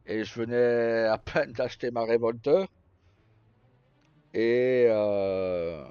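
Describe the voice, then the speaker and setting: A man live streaming, casually telling roleplay stories in a rambling, halting way with pauses mid-sentence. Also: mid-range voice, darkish tone. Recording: slightly muffled, roomy, faint music. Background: background music.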